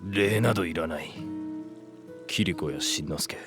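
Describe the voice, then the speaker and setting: An actor playing a stoic, loyal warrior in a superhero audio drama, speaking in a terse, solemn, deadpan way. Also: male voice, deep voice, normal speed, over music, lightly acted. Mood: solemn, gentle.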